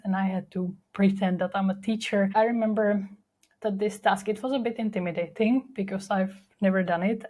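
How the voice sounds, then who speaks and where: A woman's voice: medium pitch, darkish tone. A young woman with a Czech accent, hosting a podcast and telling her own story in a candid, reflective way with frequent fillers. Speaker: podcast host. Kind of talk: personal storytelling monologue.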